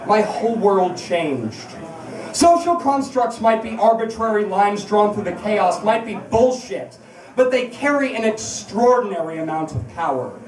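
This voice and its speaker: A woman's voice: a little high, a little mellow. A woman performing an impassioned spoken-word piece to a live audience in a bar, emphatic and confrontational, with rhetorical repetition. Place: indoors at a bar, live event. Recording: echoey bar room, distant microphone.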